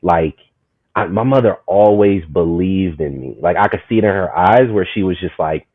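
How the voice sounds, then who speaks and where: A man in his thirties from Maryland, a guest telling his story in a lively, rambling way, full of "you know what I'm saying". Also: low-pitched, normal speed, no room sound. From Maryland, USA. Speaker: guest, community market founder. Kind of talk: casual storytelling conversation. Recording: tinny, phone-like, dry.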